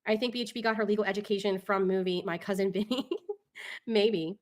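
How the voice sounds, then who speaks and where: A woman's voice: mid-range voice, steady tone, brisk. A woman hosting a casual live talk show, reading documents and commenting in an exasperated, opinionated way.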